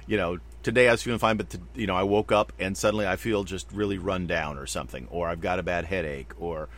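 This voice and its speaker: A middle-aged man with a very low voice talks casually as a podcast co-host. He rambles, fills gaps with asides, and circles back to his point. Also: steady tone, fast talker, a bit bright. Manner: rambling, loose, many fillers and asides. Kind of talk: casual conversation.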